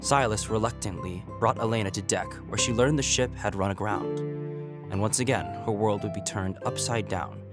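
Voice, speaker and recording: A man's voice: fairly low pitch, normal speed. A man narrating a scripted recap for a period audio drama in a storytelling style. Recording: plucked guitar music under the voice.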